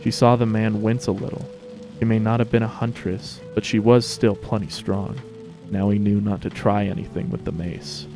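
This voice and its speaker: A male narrator gives a dramatic story reading. His voice is low, with plenty of vocal fry, and music is clearly audible behind him. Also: level tone, moderate pace.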